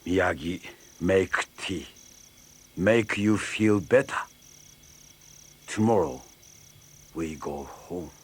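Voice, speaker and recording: An actor playing a wise, protective old Okinawan karate master in an audio drama, with a Japanese accent and terse broken English. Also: male voice, low voice, animated, very slow, long pauses, noisy background, heavily acted. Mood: gentle, sad.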